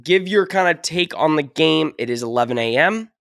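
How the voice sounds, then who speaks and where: A man's voice: on the high side, moderate pace, vocal fry. A young man on a casual sports podcast, ranting with lots of energy while he is losing his voice from being sick. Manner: animated, fired-up ranting. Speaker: podcast co-host.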